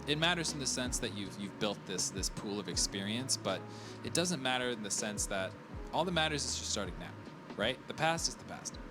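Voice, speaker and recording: A man in his thirties giving earnest, encouraging advice to viewers as a digital artist, acting out the voices of the people he quotes. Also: fairly low pitch. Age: 30s. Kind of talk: motivational talk to viewers. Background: clear music underneath.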